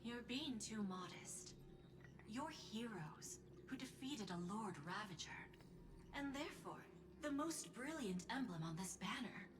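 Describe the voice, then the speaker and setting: An actress playing a poised, persuasive corporate executive in a video game, speaking formally in measured phrases. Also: female voice, fairly high pitch, expressive, low-quality recording. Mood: flattering, courteous. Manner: formal, smooth-talking, measured. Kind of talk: scripted video game dialogue.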